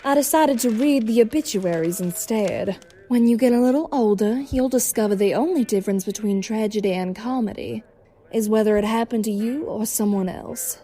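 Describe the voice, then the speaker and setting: A woman's voice: on the high side, moderate pace, warm tone, close to an everyday voice. A woman voicing a wry, world-weary cartoon club owner with a languid, sardonic delivery. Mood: dry, resigned. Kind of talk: animated comic dub acting.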